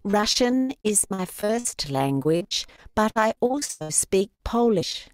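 A woman reading a line of dialogue slowly and clearly on a textbook recording. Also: medium pitch, sing-song, no room sound. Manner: clear, deliberate delivery. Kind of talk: slow scripted textbook audio.

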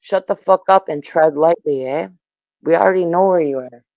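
A woman's voice: low voice, normal speed, dark tone, no echo, lo-fi sound. A woman hurling aggressive, profane taunts in a heated argument, talking into a phone. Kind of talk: heated insult exchange in a live audio chat room.

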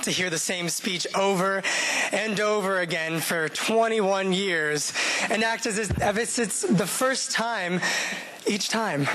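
High-pitched young man giving oratory. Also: takes it slowly, sharp tone, poor audio. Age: young adult. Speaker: student actor reading speeches. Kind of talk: dramatic reading of political victory speeches. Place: indoor room before an audience. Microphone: podium microphone.

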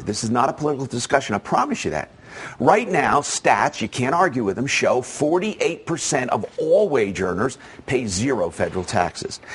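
A man with a husky voice leads an opinion segment as the show's host. His delivery is emphatic and persuasive, and his pitch rises and falls widely as he speaks straight to the audience. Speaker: show host. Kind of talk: opinion commentary monologue.

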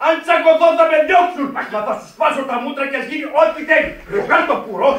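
Casting: street-tough truck driver, forceful and very high-pitched, indignant, echoey radio-play recording. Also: male voice, animated. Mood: angry indignation. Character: hot-headed, indignant male banana truck driver. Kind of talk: Greek radio theatre acting. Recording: echoey, distant from the microphone.

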